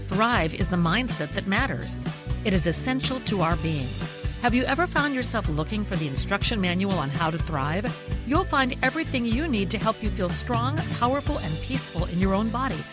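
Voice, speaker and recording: A woman's voice: medium pitch, animated, moderate pace. A woman announcer narrating a scripted radio advert. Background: music bed.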